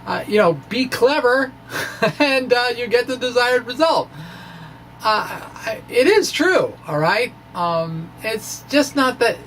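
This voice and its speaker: A man's voice: very high voice, lively intonation, slow pace, a bit bright, slight background noise, low-quality recording. A middle-aged man giving a playful, theatrical reading of a science blog, drifting into informal asides. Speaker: physics blogger reading his own blog. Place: small indoor room with bookshelves. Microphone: microphone on a stand in front of him.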